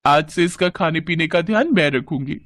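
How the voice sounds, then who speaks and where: A man's voice: on the high side, moderate pace, a bit bright, no echo, close to an everyday voice. A man voicing a teasing, sensible village girl, the bossy elder sister in a cartoon story. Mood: firm. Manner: taunting, bossy.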